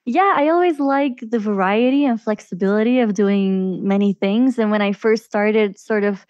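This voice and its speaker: A woman's voice: medium pitch, normal speed, a little mellow, clear, resonant tone, no room sound, lo-fi sound. A woman from Belgium with a Belgian accent, chatting casually as a podcast guest. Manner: chatty, with fillers and restarts. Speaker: podcast guest, virtual assistant. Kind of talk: casual interview answers.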